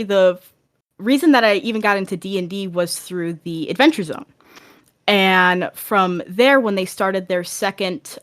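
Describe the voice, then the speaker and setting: An enthusiastic woman speaks as a podcast guest in a casual, conversational style. Her voice sits at an ordinary middle pitch for a woman. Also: fairly bright tone. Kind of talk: casual chat.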